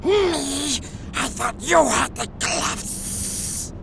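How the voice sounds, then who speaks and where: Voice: high voice, lively intonation, gravelly, very noisy, exaggerated voice. An actor playing a dim, jumpy lizard-man henchman, slangy and stammering, in a noir audio drama. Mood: flustered. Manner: slangy, slow-witted, stammering under pressure.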